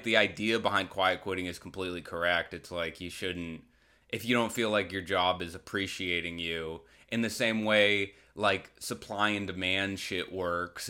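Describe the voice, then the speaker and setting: Man, lower-pitched, bright forceful voice, casual solo podcast rant, sarcastic, sweary, colloquial. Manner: acts out imagined quotes. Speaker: podcast host.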